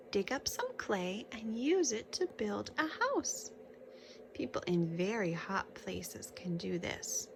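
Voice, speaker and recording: Woman reading a kids book out loud, slow n instructive, points stuff out, noisy background. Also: medium pitch, creaky. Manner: instructive, pausing to point out pictures. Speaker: children's picture book reader. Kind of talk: read-aloud for young children with casual asides and questions.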